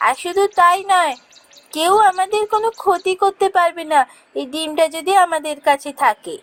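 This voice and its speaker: A woman doing an excited young village girl. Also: very high voice, normal speed, pitched above the performer's own voice. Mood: eager. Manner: excited, eager, childlike.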